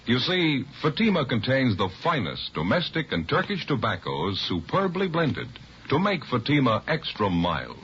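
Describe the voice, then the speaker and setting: A man's voice: mid-range voice, huge pitch swings, normal speed, fairly bright tone, slight background noise. A man doing scripted 1950s radio announcing in a declamatory, emphatic way. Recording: muffled vintage radio recording. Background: music.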